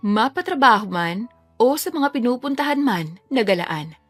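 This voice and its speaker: A woman's voice: fairly high pitch, animated, normal speed, no echo, soft background music. A woman reading a scripted romance story as a dramatic narrator.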